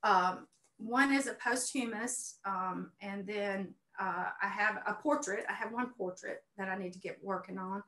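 A woman's voice: medium pitch, unhurried, pushed and bright. A middle-aged woman talking as a guest in an earnest, effusive conversational way, often repeating phrases for emphasis. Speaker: guest, painter and art teacher. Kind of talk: conversational personal testimony. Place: indoor room at home, on video call. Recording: roomy, echoey video call sound.

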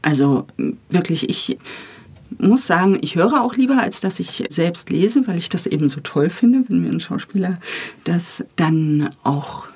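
A woman with a husky voice, talking enthusiastically as a guest in a relaxed interview. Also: lowish voice, unhurried. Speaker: guest, radio drama author, editor and director.

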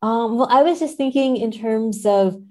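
A woman's voice: fairly high pitch, normal speed, warm tone. A woman speaking as an academic guest in a casual podcast interview.

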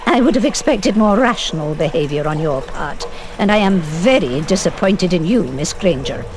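An actress playing a stern, proper older professor in a video game, strict, clipped and formal. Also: female voice, on the low side, expressive, normal speed, close to an everyday voice. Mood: scolding. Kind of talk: video game cutscene acting.

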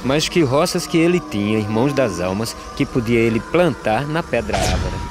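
A man playing a solemn, weary drought migrant, reciting measured verse in an animated film. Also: mid-range voice, natural voice. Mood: solemn, questioning. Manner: measured, solemn, rhythmic delivery with falling refrains. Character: solemn male drought migrant, questioning, weary, plain-spoken. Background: music.

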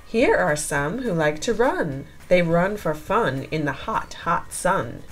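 A woman reading a children's picture book aloud in an expressive, sing-song storytelling style with deliberate phrasing. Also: fairly low pitch, soft background music.

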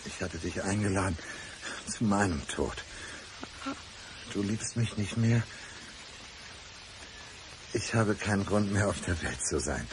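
A man's voice: low voice, takes it slowly, long pauses, noisy background. An actor playing a brooding, deceitful, despairing man in a radio crime drama, speaking with intense deliberation. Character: brooding, deceitful man feigning blindness, despairing, country-dwelling.

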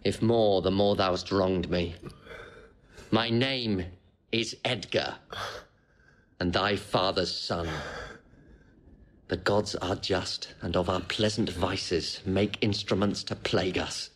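An actor playing a noble, sorrowful wronged son, measured and solemn, in a classical Shakespearean audio drama. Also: male voice, sits low, steady tone. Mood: grave.

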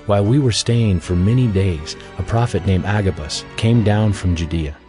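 Low-voiced male Bible narrator. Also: normal speed, dark tone, soft background music. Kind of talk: scripted narration of dramatized audio Bible.

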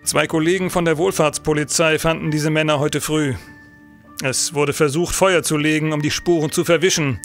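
An actor playing a formal, commanding police senator in a historical crime audio drama. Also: male voice, on the high side, fairly even intonation, normal speed, faint music behind, lightly acted. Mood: businesslike. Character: police senator, authoritative male official in historical crime case. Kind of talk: German audio drama acting.

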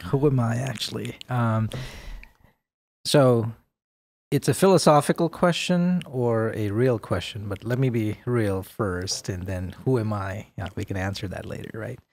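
A man in his forties, born in India, speaking as a podcast guest in a measured, thoughtful way with many pauses and fillers, on a boom mic in a studio. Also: a little mellow. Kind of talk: podcast interview. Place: studio room with plants. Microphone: boom mic with headphones.

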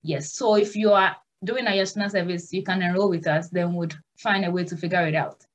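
A woman's voice: on the low side, steady tone, brisk. A young woman, a nurse and consultant, hosting a live video-call Q&A at home in an earnest, direct and reassuring way. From Ghana. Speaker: nurse and migration consultant host. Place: small room at home.

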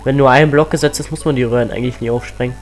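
Teen male let's-player, slightly stuffy from a cold, casual and excitable, mid-pitched, home mic with faint game music. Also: racing. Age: teens. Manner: chatty, excitable, rambling asides. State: has a cold. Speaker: let's play host.

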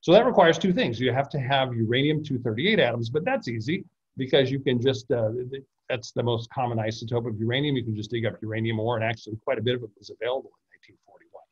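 A man's voice: medium pitch, fast talker, breathy edge. A middle-aged man from the United States giving an author's talk over a video call, explaining with slides and frequent fillers and restarts. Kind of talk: illustrated slide presentation. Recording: dull lo-fi video call audio.

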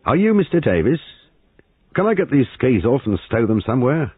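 A man voicing a cheerful young police sergeant in an audiobook, slightly common and smoothly official. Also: sits low, animated, dry recording, full character voice. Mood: brisk. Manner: fluent, unhurried, official. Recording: muffled phone-like audio.

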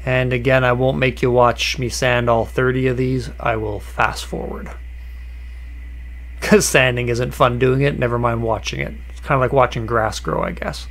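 Relaxed man narrating a woodworking build. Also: mid-range voice, normal speed, creaky. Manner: relaxed and conversational, with many "uh" fillers and restarts. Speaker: woodworking channel host. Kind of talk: voice-over narration of a workshop project.

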